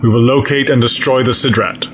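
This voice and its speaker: Stern male admiral, played by a man for audio drama: measured, formal, mid-low register, muffled slightly noisy recording. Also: fairly even intonation, a bit bright, close to an everyday voice. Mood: resolute. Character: stern, grave, coldly logical male fleet admiral. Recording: dull lo-fi sound, audible noise.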